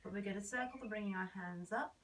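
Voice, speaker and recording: Hoarse woman with a cold, cueing exercises. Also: medium pitch, fast talker. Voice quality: hoarse, often whispery. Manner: calm step-by-step cueing, counting beats. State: has a cold, voice nearly gone. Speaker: belly dance teacher. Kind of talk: instructing an exercise warm-up. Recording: voice a bit far from the mic.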